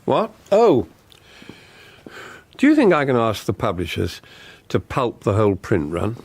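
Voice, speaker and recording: An actor playing a diffident, bereft older politician in a radio drama, formal, measured and wistful. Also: male voice, mid-range voice, huge pitch swings, breathy edge, no room sound, heavily acted. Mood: distraught.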